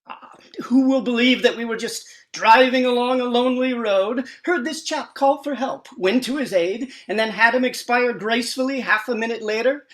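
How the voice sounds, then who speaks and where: A man's voice: very high-pitched, normal speed, hardly any pauses. Genteel, fussy radio sidekick, acted by a man. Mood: flustered, wry. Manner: arch, florid wording. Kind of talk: 1940s radio comedy-drama acting.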